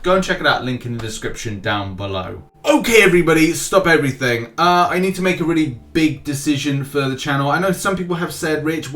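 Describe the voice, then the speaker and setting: A man's voice: mid-range voice, fairly bright tone. A man hosting a gaming news channel, giving casual, informal and enthusiastic commentary on game updates.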